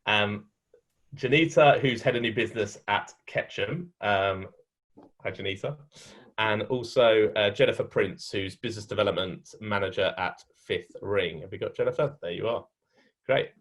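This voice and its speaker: A man's voice: lowish voice, normal speed, a bit bright. A man hosting an online meet-up and moderating a panel, speaking casually with many ums and restarts. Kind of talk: casual moderating on a video call.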